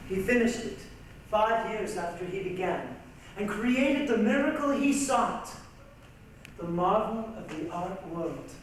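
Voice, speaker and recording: A woman narrating dramatically on stage with deliberate, declamatory phrasing. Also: mid-range voice, expressive, distant mic. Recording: echoey hall from afar, faint hiss.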